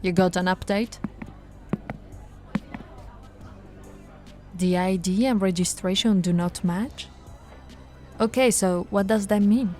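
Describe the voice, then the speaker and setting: Woman acting a slow-talking, flirty, soft policewoman. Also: medium pitch, faint music behind, lightly acted. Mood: businesslike. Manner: soft, coaxing, conversational. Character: flirty, patient, teasing policewoman reassuring a suspect. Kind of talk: intimate ASMR roleplay acting.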